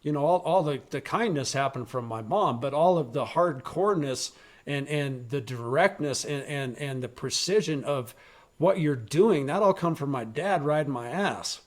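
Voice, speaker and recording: A man hosting a podcast, talking bluntly and forcefully, swearing freely and raising his voice to make his point. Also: fairly high pitch, lively intonation, moderate pace. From rural western United States, raised on a ranch. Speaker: podcast host. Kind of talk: opinionated political talk.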